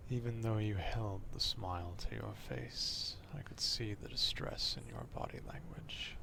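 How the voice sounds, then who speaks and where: A man playing an obsessive, old-fashioned vampire stalker in an ASMR roleplay, speaking formally in a hushed half-whisper, close to the microphone. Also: on the low side, expressive, noisy background. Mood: quietly menacing. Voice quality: hushed, breathy half-whisper with gravelly fry. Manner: slow, deliberate, formal archaic wording.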